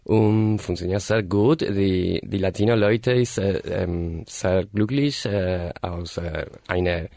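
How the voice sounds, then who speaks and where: Slow, gravelly man in German. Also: sits low, mellow, no echo, clean studio sound. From Argentina. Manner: halting non-native German, many fillers and self-corrections. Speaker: guest, party organizer. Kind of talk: casual interview.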